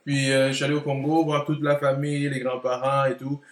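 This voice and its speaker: A man's voice: medium pitch, monotone, brisk. A man in his late twenties with a Quebec French accent, chatting casually and slipping English words into his talk. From Montreal, Canada. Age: late 20s. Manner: mixes English words into speech. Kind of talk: casual conversational chat. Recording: roomy, echoey room.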